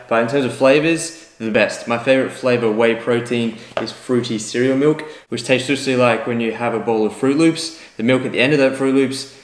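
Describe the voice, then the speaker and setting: A young man vlogging casually in a relaxed, chatty, self-mocking way, filmed on a camera mic under a house deck and indoors. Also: medium pitch. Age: young adult. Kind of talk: casual vlog chat. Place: outdoor area under a house deck, then indoor room.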